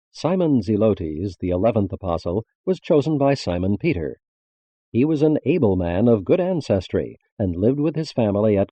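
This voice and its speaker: A man narrates in a low voice that rises and falls expressively. He reads scripted religious prose in a formal, measured way, enunciating with care. The recording is close and dry, a little dull on top. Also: dark tone, dry recording. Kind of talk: scripted audiobook-style narration of religious text.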